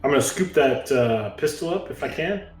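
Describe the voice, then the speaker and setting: A man's voice: medium pitch, expressive, normal speed. A man playing a tabletop game, chatting casually and bluntly. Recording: roomy echo, clear music underneath.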